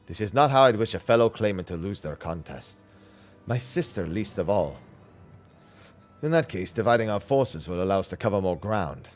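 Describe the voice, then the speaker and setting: A man's voice: sits low, expressive, moderate pace, soft background music. A man reading out the lines of earnest, formal fantasy game adventurers in archaic phrasing. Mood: grave, resolute. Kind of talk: reading game dialogue aloud.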